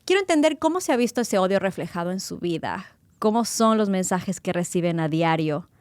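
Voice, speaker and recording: A woman's voice: lowish voice, fairly quick, no room sound. Woman hosting a podcast, dry close sound. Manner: emphatic, expressive delivery. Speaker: podcast host. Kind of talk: interview with scripted intro.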